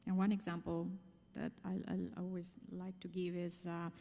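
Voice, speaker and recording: Italian accent woman doing a conference talk, soft mellow tone, explains stuff with emphasis, big echoey hall. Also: fairly low pitch, monotone, moderate pace. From Italy. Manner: emphatic, explanatory, with rhetorical questions and check-in tags. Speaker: conference speaker. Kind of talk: conference presentation to an audience. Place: large conference room, speaking to an audience. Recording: long hall reverb.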